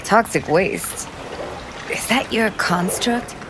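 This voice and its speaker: A woman's voice: medium pitch, takes it slowly, background noise, close to an everyday voice. An actress voicing a candid, wistful young woman techie, tough but caring, with casual, clipped, slangy phrasing in a video game. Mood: tired, caring.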